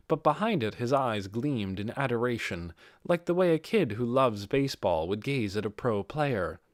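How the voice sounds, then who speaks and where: A man narrates an audiobook with plenty of pitch movement. His voice is mid-range and recorded very dry, in a scripted storytelling style. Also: no room sound. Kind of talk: expressive scripted fiction narration.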